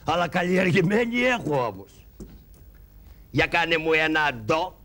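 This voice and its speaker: A man's voice: fairly high pitch, sing-song, sharp tone. A man voicing a fussy, ingratiating sidekick in a shadow-puppet play, who lectures and gives instructions. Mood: lecturing. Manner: prim, lecturing, giving instructions. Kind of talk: Greek shadow-puppet theatre.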